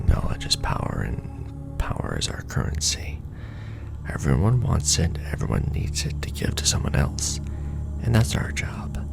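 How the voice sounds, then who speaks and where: Man acting a gravelly, whispering secret-society recruiter. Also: fairly low pitch, full character voice. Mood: solemn, menacing. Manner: chummy, sardonic, conspiratorial patter. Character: casually menacing Illuminati recruiter onboarding a new initiate. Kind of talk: whispered ASMR roleplay.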